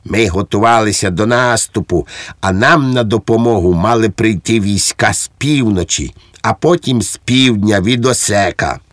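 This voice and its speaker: A man's voice: on the low side, moderate pace, fairly bright tone, no room sound, clean studio sound, natural voice. A man voicing an unflappable, naively obedient common soldier who rambles earnestly in an audiobook. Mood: earnest, rambling. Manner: earnest, untroubled, long-winded. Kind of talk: audiobook character acting.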